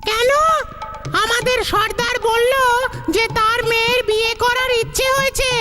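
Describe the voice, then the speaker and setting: A woman's voice: very high voice, level tone, moderate pace. A woman voicing a childlike, insistent forest spirit in a cartoon, speaking matter-of-factly. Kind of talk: cartoon dub acting. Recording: loud music behind.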